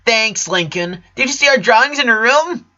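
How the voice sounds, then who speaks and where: A man voicing excitable, smug little twin girls in a storybook reading, with a whiny, squabbling manner. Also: very high voice, normal speed, highs cut off, voice pushed up, heavily acted. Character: bickering little girl twins, excitable and smug.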